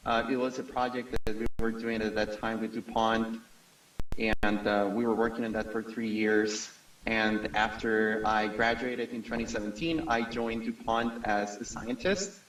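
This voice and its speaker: A man's voice: on the low side, fairly bright tone. A man in his thirties from Costa Rica, an industry scientist introducing himself at a panel discussion. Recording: roomy echo, distant from mic.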